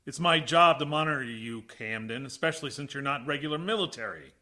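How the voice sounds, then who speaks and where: A man's voice: medium pitch, animated, a little fast, bright, forceful tone. A man acting in a radio play as a stiff, prickly military base commander, stern, clipped and formal in speech. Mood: stern, indignant. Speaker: actor.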